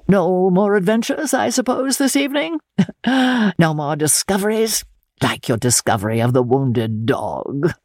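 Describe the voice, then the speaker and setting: Woman playing a suave, probing, swooping gentleman count. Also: on the low side, sing-song, measured pace, dry recording, studio quality, voice pushed up. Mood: teasing, inquisitive. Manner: courtly, quaint, teasingly inquisitive. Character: large, suave, probing middle-aged gentleman count, falsely polite. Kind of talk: dramatic audiobook character reading.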